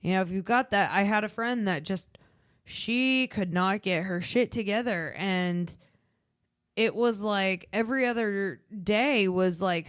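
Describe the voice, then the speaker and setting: A woman's voice: medium pitch, dry recording, studio quality. A woman in her thirties with a Chicago accent, co-hosting a podcast in casual, candid conversation. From Midwestern United States. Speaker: podcast co-host.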